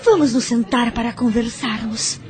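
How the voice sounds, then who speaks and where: A woman's voice: on the high side, normal speed, a little mellow, natural voice. A woman playing an effusive, scheming madam of a courtly brothel in an audio novel. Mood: gushing, welcoming. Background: music.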